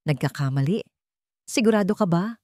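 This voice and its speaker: A woman's voice: fairly low pitch, lively intonation, normal speed, darkish tone, no echo, clean studio sound, close to an everyday voice. A woman voicing a wealthy businessman in a drama, cold, insistent and accusing. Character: wealthy young businessman, cold, irritated, intense.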